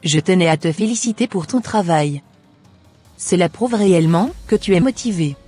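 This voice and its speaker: Woman voicing a husky, haughty team leader. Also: on the low side, lively intonation, moderate pace, mellow, faint music behind. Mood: flattering.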